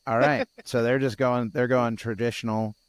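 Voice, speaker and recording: A man's voice: mid-range voice, little pitch movement, moderate pace, dry recording. A tired man co-hosting a podcast in casual chat, with a groggy, scratchy voice, rambling and restarting his sentences. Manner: rambling, with frequent restarts and fillers. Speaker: podcast co-host.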